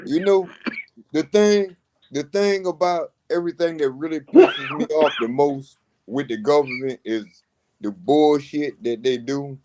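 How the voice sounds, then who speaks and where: A man with a somewhat high voice talks heatedly about politics in casual chat, swearing and stretching out his points for emphasis. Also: a little mellow, poor audio. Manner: heated, profane, drawn-out emphasis.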